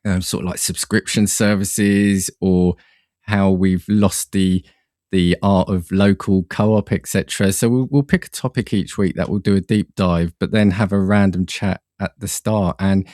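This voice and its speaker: A man's voice: sits low, fairly even intonation, measured pace, mellow, dry recording, studio quality. A man speaking casually as a guest on a podcast, chatting like a fellow podcaster. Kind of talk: casual chat.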